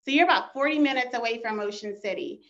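A woman's voice: on the high side, fast talker. A woman from Maryland's Eastern Shore, a guest and business owner, speaking upbeat and casual with many "um" fillers. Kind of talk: casual interview. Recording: slightly dull, lo-fi line.

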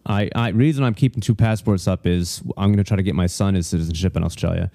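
A man with a very low, dark voice spins long, wandering stories on a podcast. He restarts his sentences often and chats casually. The sound is close and dry, with no room around it. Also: fast talker, creaky, dry recording. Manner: rambling storyteller, many restarts. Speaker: stand-up comedian guest.

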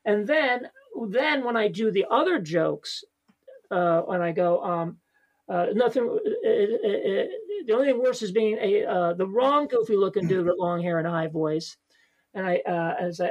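Man, high voice, stand-up comedian, casual podcast guest, rambling, lots of fillers. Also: lively intonation, moderate pace, rough recording. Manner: rambling, many fillers and restarts.

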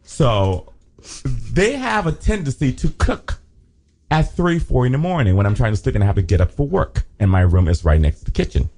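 Male podcast co-host, sassy gossip, lively swoops in pitch. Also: normal speed. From Liberia. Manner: animated, sassy storytelling. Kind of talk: casual gossip chat.

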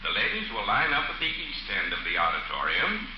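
An actor playing a formal master of ceremonies, declaiming ceremoniously in 1940s radio comedy style. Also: male voice, fairly low pitch, huge pitch swings, a little fast. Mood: officious. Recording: echoey hall, muffled noisy old radio recording.

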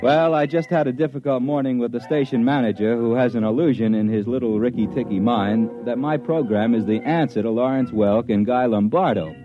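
An actor playing a sardonic, slangy disc jockey telling his story in an old-time radio drama, with drawn-out hesitations. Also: mid-range voice, over music, lightly acted. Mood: wry storytelling. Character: wry, hip, cash-strapped radio disc jockey narrator. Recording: dull vintage radio recording.

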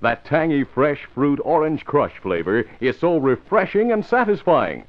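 Animated old-time radio announcer, man. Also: on the high side, unhurried. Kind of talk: scripted 1950s radio announcing. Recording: muffled vintage radio recording.

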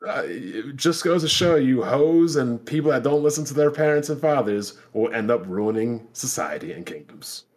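A man's voice: medium pitch, expressive, normal speed, few pauses. A man hosting a casual podcast, telling stories and bantering in slangy, profane language. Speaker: podcast host.

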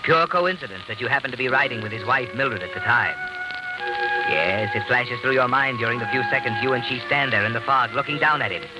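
Dramatic, animated radio mystery narrator, music behind. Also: male voice, medium pitch, rough recording. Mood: knowing, accusing. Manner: dramatic, knowing, measured. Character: ominous all-knowing male narrator of a crime tale.

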